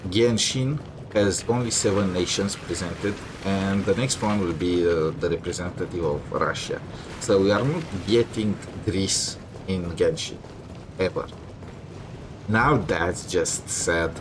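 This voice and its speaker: A man's voice: low voice, a little slow, noisy background. A man streaming a story game, giving casual commentary with wry, snarky asides.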